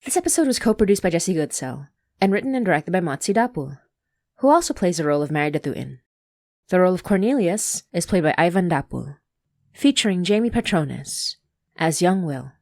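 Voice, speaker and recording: Lively woman reading scripted announcements. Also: on the low side, fairly quick, no room sound, studio quality. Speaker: podcast creator and host.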